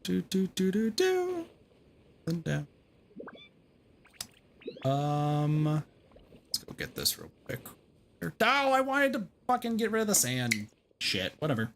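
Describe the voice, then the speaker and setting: Animated man streaming a game. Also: high-pitched, slow pace, vocal fry, pauses often, a little hiss or hum. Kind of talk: casual livestream game commentary.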